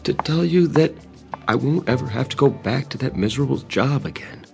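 A man's voice: medium pitch, expressive, moderate pace, vocal fry. An actor playing a harried, apologetic young man fed up with work, earnest and flustered, in an audio drama. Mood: pleading. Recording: faint music behind.

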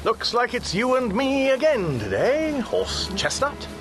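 An actor playing a lonely, flustered, indignant castaway in a radio comedy. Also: male voice, very high-pitched, measured pace, over music, full character voice. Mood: lonely, peevish. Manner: excitable, stammering, talks to himself. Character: lonely, flustered stranded Englishman, eccentric and indignant. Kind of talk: radio comedy drama acting.

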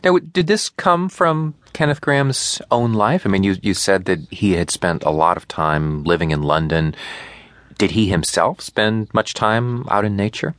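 Male radio interviewer, lowish voice, conversational, dry recording. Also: a little slow, a bit bright, dry recording.

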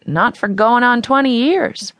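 A woman's voice: on the high side, unhurried, a bit bright, dry recording, pitched above the performer's own voice. A woman reading a story voices a frail, sad small-town woman who speaks in a sugary, doting way. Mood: surprised, doting.

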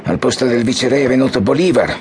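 An actor playing a weary, disillusioned general and former president in an audio drama, speaking in solemn, formal, measured phrases. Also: male voice, mid-range voice, animated, noisy background, natural voice. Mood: bitter, disillusioned. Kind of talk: audiobook radio drama acting. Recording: music behind the voice.

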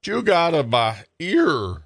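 A man's voice: fairly high pitch, wildly swooping pitch, gravelly, slightly rough, no echo, clean studio sound, pitched above the performer's own voice, heavily acted. Man doing a whiny slurring drunk. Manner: slurred, stammering, slow.

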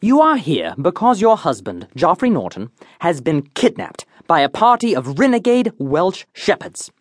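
A man's voice: fairly high pitch, wildly swooping pitch, normal speed, dry recording. An eccentric detective of comic radio drama. He declaims with pompous certainty, his voice leaping theatrically across a wide range. The sound is dry and close. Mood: grandly declaiming. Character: eccentric, pompous male detective, absurdly sure of his deductions.

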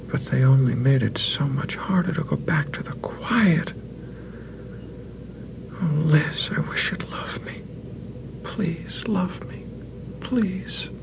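Slow, gravelly lovelorn man. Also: on the high side, muffled recording. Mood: desperate, pleading. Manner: measured, deliberate, earnest. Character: moody, over-romantic lovelorn man voicing his letters. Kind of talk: dramatic audio drama monologue.

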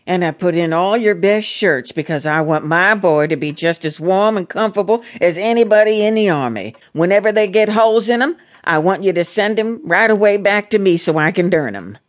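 A woman's voice: mid-range voice, lively intonation, a little fast, few pauses, lightly acted. A plain-spoken American farm mother played by a woman in a radio drama. She is middle-aged and devout, with a rural accent, and counsels her child in an earnest, anxious, homespun way. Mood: anxious, tender.

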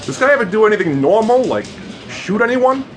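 Lively, sardonic city cop. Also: male voice, high voice, a little fast, over music. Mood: skeptical. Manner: wisecracking, skeptical. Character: brash, sardonic New York police detective. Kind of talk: radio drama acting.

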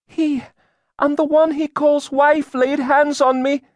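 A man's voice: extremely high pitch, flat delivery, takes it slowly, dry recording, muffled recording, voice pushed up. A man voicing a frightened sixteen-year-old Puritan girl who testifies in a fearful, trance-like way. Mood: entranced, fearful.

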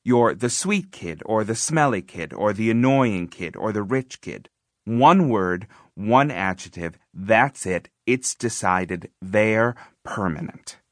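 A man reading a first-person children's novel as an audiobook narrator, expressive, confiding and conversational, with emphatic phrasing. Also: fairly low pitch, takes it slowly, no echo, studio quality.

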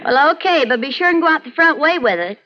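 A woman's voice: high voice, lively intonation, quick pace, bright, forceful tone. A woman playing a cheerful, coaxing wife in a 1940s radio sitcom, laughing often. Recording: lo-fi old radio with studio audience and hiss. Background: studio audience laughter.

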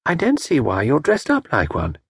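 A man reading aloud, voicing a vague, puzzled young woman with soft questioning lines. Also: medium pitch, wildly swooping pitch, moderate pace, creaky, breathy edge, no echo, studio quality, voice pushed up, heavily acted.

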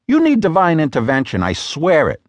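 A man's voice: a little high, wildly swooping pitch, normal speed, dry recording, pitched above the performer's own voice. A bossy, exasperated woman, as a male narrator puts her on, scolds in a raised, sarcastic voice. Her lines swing wildly up and down for emphasis. The dry booth leaves no room sound around her. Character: sharp-tongued exasperated woman, bossy business partner.

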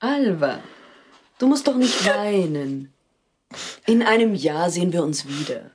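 A celebrated female singer with a secret, in a radio play. Her voice is husky and lowish, taking its time with expressive swings, soothing yet evasive. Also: unhurried. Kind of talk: German audio drama acting.

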